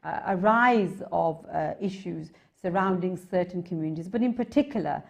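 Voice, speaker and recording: Low-voiced English woman interviewing. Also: creaky. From England. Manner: deliberate, wordy questioning with hesitations. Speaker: TV host, interviewer. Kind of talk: conversational interview.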